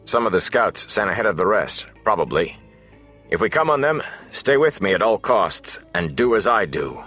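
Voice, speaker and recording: Narrator doing a grim warrior scout, deep voice, terse, matter-of-fact, bossy, faint music. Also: male voice, big pitch swings, normal speed, fairly bright tone. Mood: terse warning. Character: stern, terse warrior scout, grown man, grimly controlled.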